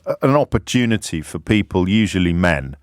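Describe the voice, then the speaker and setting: A man hosts a radio phone-in from the studio, his voice very low. He answers the caller emphatically and with sympathy. The close studio mic gives a dry sound. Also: big pitch swings, fairly quick, no room sound. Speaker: radio talk show host. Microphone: studio microphone.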